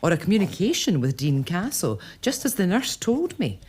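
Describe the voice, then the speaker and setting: A woman's voice: lowish voice, very animated, normal speed, a little mellow, a little hiss or hum. An actress playing a curious, determined lady of a castle in a period audio drama, probing and earnest. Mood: reflective.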